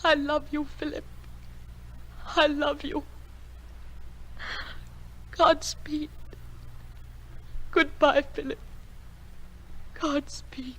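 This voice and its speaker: A woman's voice: high-pitched, level tone, very slow, pauses often, a bit bright, heavily acted, rough recording. An actress playing a lovelorn young woman, delivering a poetic, yearning monologue in a radio drama. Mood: heartbroken farewell. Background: music.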